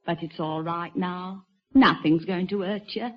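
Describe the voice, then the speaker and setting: An actress playing a motherly prison wardress in an old radio drama, coaxing, folksy and firm, with a husky voice. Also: fairly low pitch, steady tone, measured pace. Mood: soothing. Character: motherly, plain-spoken prison wardress, soothing but firm. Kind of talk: old-time radio acting. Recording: dull old radio broadcast, faint music.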